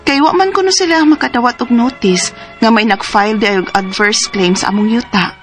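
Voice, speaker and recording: A woman's voice: fairly high pitch, normal speed, soft background music, natural voice. An actress in a radio drama playing a determined adult daughter who speaks earnestly to her mother. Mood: anxious. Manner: earnest, pleading, comforting.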